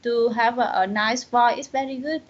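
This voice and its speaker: A woman with a Vietnamese accent, a student speaking English in an online lesson, halting and searching for words with many restarts. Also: a little high, steady tone, muffled recording.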